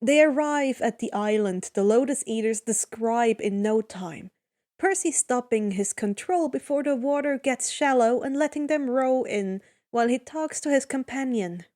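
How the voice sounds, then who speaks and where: A woman's voice: a little high, animated, normal speed, darkish tone. A woman reading fan fiction aloud as a dramatic story narration.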